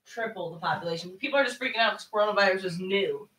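A woman in the audience joining a casual podcast chat off-mic, talking in a quick, teasing, conspiratorial way. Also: mid-range voice, noisy background. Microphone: off-mic, away from the microphone. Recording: distant voice, away from mic.

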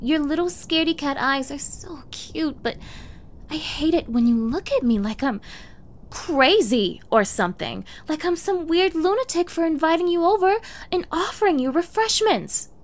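A woman's voice: high voice, lively intonation, moderate pace. A woman playing a sweetly menacing, possessive young girlfriend in an intimate ASMR roleplay, coy and cooing, often dropping into whispers. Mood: pouty, scolding. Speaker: roleplay audio performer.

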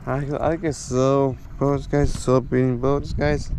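A man's voice: medium pitch, flat delivery, measured pace. A man chatting casually to his viewers while paddling on a lake, rambling and repeating himself, recorded on an action camera mounted on the board. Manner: rambling, repeats words, keeps saying guys. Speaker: vlogger. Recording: outdoor camera audio with water noise. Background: water splashing and paddling.

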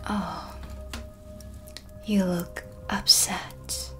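Slow, whispery woman as a dark witch. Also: on the low side, fairly even intonation. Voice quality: breathy, whispery. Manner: slow, deliberate, theatrical. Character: sly, composed dark witch hosting tea. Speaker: ASMR roleplay performer. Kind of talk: soft-spoken ASMR roleplay. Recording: music behind the voice. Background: ambient music.

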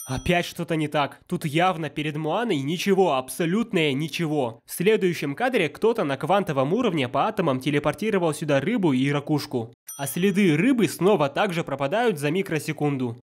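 A man's voice: on the high side, expressive, a little fast, hardly any pauses. A man narrating scripted nitpicking commentary in a sarcastic, pedantic manner. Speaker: YouTube film critic, narrator.

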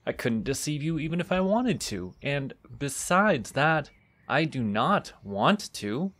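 A man voicing an immortal archfey in a soft-spoken fantasy audio roleplay, courtly, teasing and possessive, coaxing with condescending affection. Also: fairly high pitch, sing-song, measured pace, heavily acted. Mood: amused, teasing.

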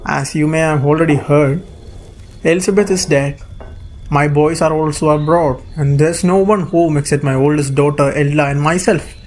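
A man's voice: a little high, steady tone, moderate pace, darkish tone, natural voice. A man acting a hearty middle-aged ironmaster in a student radio drama, earnest and coaxing. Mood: urging, concerned. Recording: echoey room.

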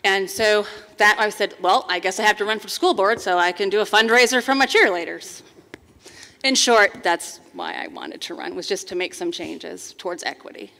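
A woman speaks loudly and emphatically into a handheld microphone on a forum stage. Her voice is bright and pointed. A long hall reverb trails each phrase. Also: mid-range voice, lively intonation, moderate pace, vocal fry. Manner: loud, emphatic, laughs while talking. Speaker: school board candidate, cheer coach. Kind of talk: candidate forum statement. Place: large hall, seated on stage panel.

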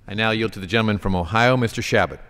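A presiding man makes formal announcements in a low voice at a brisk pace. Long reverb of a large chamber surrounds him. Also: fairly bright tone. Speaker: presiding legislator yielding the floor.

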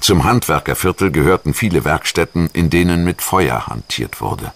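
A man reading a scripted history documentary narration in a measured, articulate storytelling manner. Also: extremely low pitch, lively intonation. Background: music.

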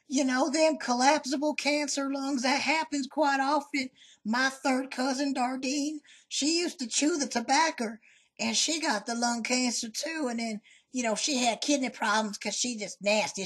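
A man's voice: very high-pitched, steady tone, normal speed, fairly bright tone, slightly rough, full character voice. A man doing a salty old Southern country woman. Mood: brash flirty. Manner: folksy, salty, drawling, calls people honey. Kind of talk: prank call.

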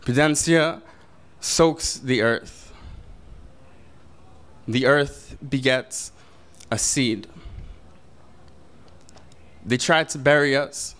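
A young man performing spoken word poetry at a slam, slow and deliberate, building to intense declamation, in a small brick-walled venue. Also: mid-range voice, fairly bright tone, clean tone. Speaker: slam poet.

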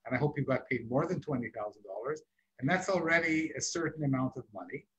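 Husky man hosting a video call. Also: medium pitch, animated, quick pace, a bit bright. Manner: frank, many fillers. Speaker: interview host. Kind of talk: casual interview over video call. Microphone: video call, computer mic. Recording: muffled video call audio.

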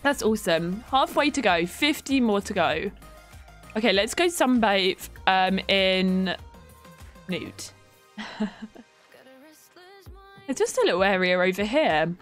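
A young woman streaming a life-sim game from home, chatting warmly with her viewers while stuffed up with a cold. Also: mid-range voice, animated, slow pace, pauses often, faint music behind. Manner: chatty, affectionate toward chat, giggly. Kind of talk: live game stream chat.

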